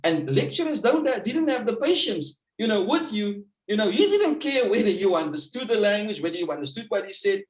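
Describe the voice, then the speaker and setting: Earnest South African man, high voice. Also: big pitch swings, fairly quick, few pauses, roomy sound, rough recording. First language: Afrikaans. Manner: earnest, repeats himself, frequent "you know" fillers. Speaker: guest educator. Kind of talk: personal storytelling in an interview.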